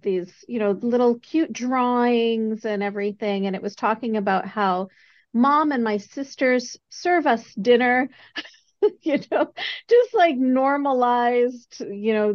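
A woman's voice: fairly high pitch, takes it slowly. Woman podcast host, chatty, curious, long questions, muffled lo-fi sound. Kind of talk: interview hosting. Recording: dull lo-fi audio.

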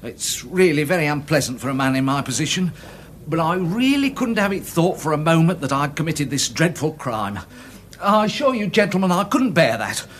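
A man's voice: on the high side, sing-song, moderate pace, slight background noise. An actor playing a respectable, embarrassed little Englishman giving evidence in a radio drama, prim, hesitant and stammering. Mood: anxious, embarrassed.

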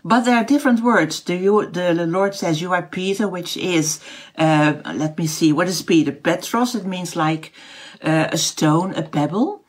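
A woman's voice: lowish voice, moderate pace, slightly rough. A middle-aged Dutch woman, speaking English with a Dutch accent, giving a casual online Bible teaching. She often searches for words, with fillers and restarts. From Netherlands. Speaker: house church teacher.

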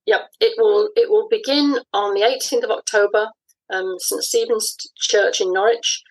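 A woman's voice: medium pitch, steady tone, normal speed, poor audio. A woman speaking informally as a guest writer in an interview.

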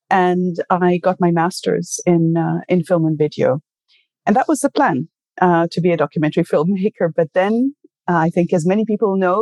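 A woman's voice: lowish voice, a little mellow, no room sound. A woman in her sixties from Egypt, telling her life story in a conversational interview, thoughtful and with many fillers and self-corrections. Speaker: interview guest. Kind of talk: casual conversation.

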